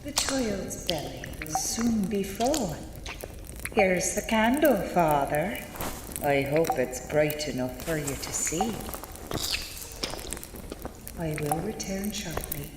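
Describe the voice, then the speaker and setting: A woman playing a stern, devout convent nun in a horror audio drama, speaking calmly in a measured, cryptic and ominous manner. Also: fairly low pitch. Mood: cold, resolute. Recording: very echoey stone-like hall, loud background noise.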